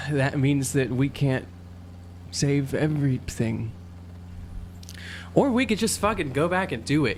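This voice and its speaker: A man talking as a player in a tabletop game, halting and deliberate as he searches for words. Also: on the high side, slight background noise. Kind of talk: casual in-character discussion.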